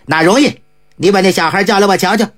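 A woman voicing an eccentric, jovial old male monk in a traditional storytelling performance, with a gruff, drawling and offhand manner. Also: mid-range voice, fast, voice pushed down, natural voice.

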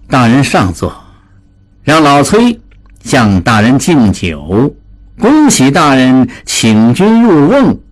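A man voicing a bitter, drunken, menacing older brother, a schemer with a sneering drawl, in a dramatized audiobook. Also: medium pitch. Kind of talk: dramatized audiobook character acting.

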